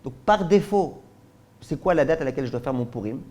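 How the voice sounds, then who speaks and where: Man, rabbi giving a lesson, fairly high voice, asks rhetorical questions, acts out examples. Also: animated, brisk, darkish tone. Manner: frequent checks with listeners ("d'accord?"). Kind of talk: spoken Torah lesson.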